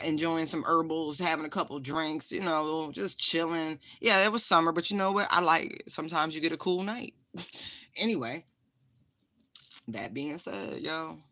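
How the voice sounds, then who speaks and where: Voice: mid-range voice, measured pace, long pauses, pushed and bright, no room sound. A tarot reader giving a casual spiritual reading, frank and profane, laughing often and repeating phrases for emphasis.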